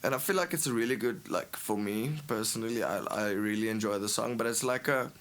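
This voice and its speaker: A man's voice: on the low side, quick pace, fairly bright tone. A young man with an Afrikaans accent, a musician guest in a casual podcast chat, rambling with lots of fillers and restarts. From South Africa.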